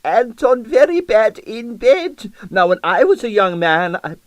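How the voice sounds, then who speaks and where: Vain old Russian dancer, pitched high. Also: male voice, very animated, measured pace, dry recording. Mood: confiding, amused. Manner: boastful, plaintive, broken English.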